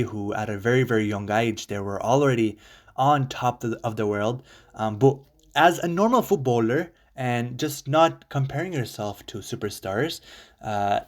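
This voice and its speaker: A man talking casually as a football fan vlogger, enthusiastic, rambling with many fillers and restarts. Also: medium pitch.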